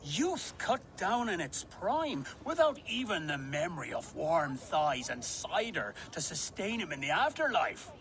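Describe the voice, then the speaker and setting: A merry old harvest king in a game cutscene. The voice is high for a man and very lively, with a grandiose, mischievous, teasing delivery. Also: a little slow, few pauses, a little hiss or hum. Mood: mock-dramatic. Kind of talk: video game cutscene acting.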